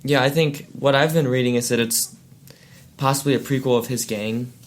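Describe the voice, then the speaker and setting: A man's voice: medium pitch, steady tone, moderate pace. Man, mid-range voice, podcast co-host, casual gaming chat, conversational.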